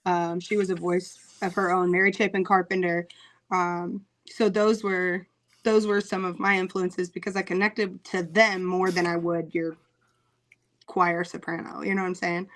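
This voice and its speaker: A woman singer talking casually in an interview, chatty, laughing often, with many "um" pauses. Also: lowish voice, flat delivery.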